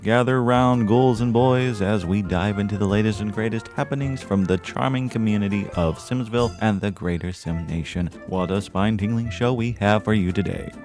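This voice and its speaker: An actor playing a chipper small-town podcast host, with a theatrical, flowery, folksy delivery in a scripted radio style. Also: male voice, lowish voice, normal speed, hardly any pauses, over music, lightly acted. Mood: cheerful, inviting.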